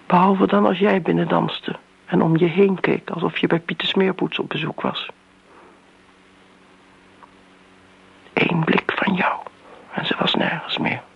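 A woman's voice: fairly low pitch, fairly even intonation, moderate pace, vocal fry, husky, heavily acted. An actress playing a bitter, middle-aged daughter who defends her mother in a radio play, pointed and reproachful in manner. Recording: muffled old radio recording, background hiss.

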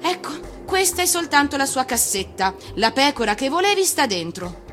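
A woman voicing a stranded adult pilot in a storybook reading, puzzled, gently impatient and quiet in manner. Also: sits high, big pitch swings, normal speed, faint music behind, voice pushed up. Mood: brisk, reassuring.

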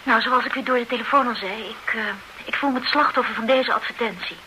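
A woman's voice: a little high, fast talker, background noise, lightly acted. A woman playing a rueful, cheated mother. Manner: earnest, explaining at length. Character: flustered divorced mother duped by an ad. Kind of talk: radio play acting.

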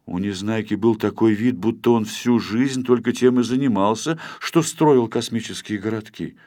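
A man narrating a children's storybook in an expressive, scripted storytelling style. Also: on the low side, moderate pace, no echo, studio quality. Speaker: audiobook narrator.

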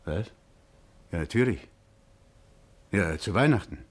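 An actor in a 1950s German radio crime drama playing an anxious, hot-tempered working-class man who tells his story haltingly. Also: low voice, expressive. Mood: uneasy, confiding.

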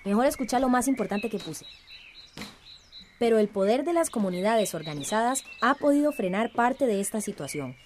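Radio drama: actress as young village activist, earnest and emphatic, fairly high voice, Central American accent, faint background noise. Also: female voice, lively intonation, moderate pace, natural voice. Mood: earnest, urgent. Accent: Central American Spanish.

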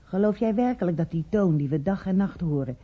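An actress playing a beggar's cautious, superstitious partner in a radio drama, husky, plain-spoken and hesitant. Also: female voice, lowish voice, lively intonation, a little fast. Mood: doubtful. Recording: dull old radio recording.

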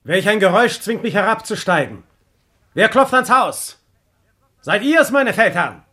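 An actor playing a lofty, commanding general in a German radio verse drama, imperious and declamatory. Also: male voice, high-pitched, lively intonation, normal speed, bright, forceful tone, heavily acted. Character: lofty, commanding male impostor posing as general.

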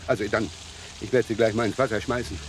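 A man's voice: fairly low pitch, fairly even intonation, fast, slight background noise, lightly acted. An actor playing a flustered, evasive man in a crime radio play, stammering and nervously polite. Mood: sheepish, polite. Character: flustered, evasive, deferential man disposing of a corpse.

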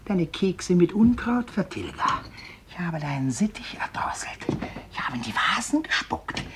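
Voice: medium pitch, very animated, measured pace. An actor playing a meek husband in a radio play, sweetly polite on the surface and slyly whispering murderous thoughts. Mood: sly sweetness.

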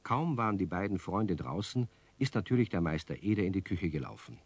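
Narrator, deep and husky storyteller. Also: male voice, animated, a little fast. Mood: wry storytelling. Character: wry, warm-hearted storyteller of a children's play.

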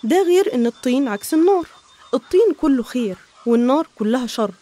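A woman's voice: high voice, lively intonation, fast, warm tone. A woman with an Egyptian accent narrating scripted religious stories in an explanatory, didactic manner.

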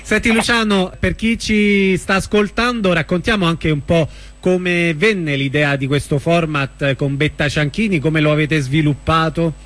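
A male radio host conducting an informal interview. His voice sits somewhat high for a man, and he speaks conversationally as he prompts his guest. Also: steady tone, a little slow, hardly any pauses, a bit bright.

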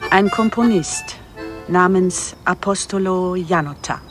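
A woman's voice: lowish voice, slow pace, music in the background. An actress playing a cool, wary female contract killer in a radio crime drama, speaking in a dry, terse way. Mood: cool, guarded. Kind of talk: German radio play acting.

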